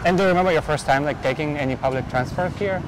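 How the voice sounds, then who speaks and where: A man's voice: a little high, very fast. A man hosting a street interview, asking loose questions with many short reactions and hedging fillers. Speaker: interviewer, YouTube host. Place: outdoors on a city street. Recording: noisy outdoor street recording with traffic. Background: traffic and street noise.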